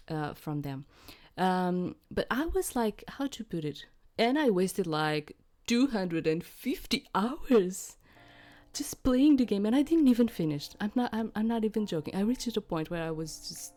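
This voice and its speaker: A woman's voice: medium pitch, mellow. A middle-aged woman hosting a gaming channel, chatting casually and rambling, with hesitant explanations to her viewers.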